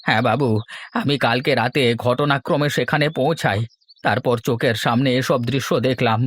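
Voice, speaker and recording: A man's voice: medium pitch, normal speed, a bit bright, no echo, natural voice. Man playing a deferential old servant. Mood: earnest, warning. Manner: deferential, earnest, pleading. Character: loyal, cautious old male servant. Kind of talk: horror cartoon acting.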